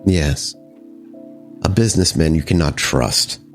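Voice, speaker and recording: A man voicing a courteous, guarded female vampire club owner in a tabletop game, with measured, formal phrasing. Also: extremely low pitch, faint music behind, voice pushed down. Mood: wry, composed.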